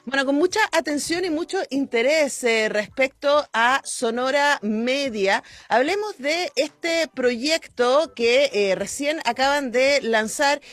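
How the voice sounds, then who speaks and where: A woman's voice: high voice, lively intonation, takes it slowly, hardly any pauses, fairly bright tone, no room sound. A middle-aged woman with a Chilean accent hosting a live radio interview. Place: radio broadcast.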